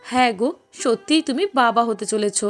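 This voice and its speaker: A woman voices a caring young wife in a cartoon family drama. Her voice is high and sweet, with a coaxing, emotive delivery, over a music bed. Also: moderate pace, close to an everyday voice. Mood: happy. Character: loving young wife, expectant mother, caring and anxious. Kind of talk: cartoon dialogue acting.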